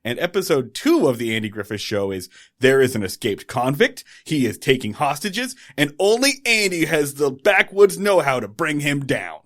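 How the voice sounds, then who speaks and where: A man's voice: a little high, big pitch swings, normal speed, hardly any pauses, fairly bright tone. Joking man co-hosting a chatty podcast. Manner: joking, rambling, bursts of silly singing. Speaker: podcast co-host. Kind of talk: casual chat.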